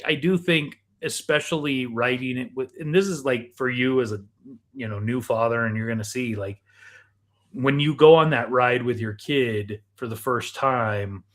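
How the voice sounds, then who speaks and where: A man co-hosting a podcast in casual, conversational chat. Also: medium pitch. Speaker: podcast co-host.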